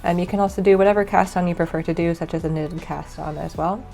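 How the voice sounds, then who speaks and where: A woman's voice: lowish voice, quick pace, creaky, faint music behind. Stuffy-nosed woman teaching knitting. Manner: relaxed, many ums and uhs. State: stuffy from a cold. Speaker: knitting instructor. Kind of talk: casual tutorial explanation.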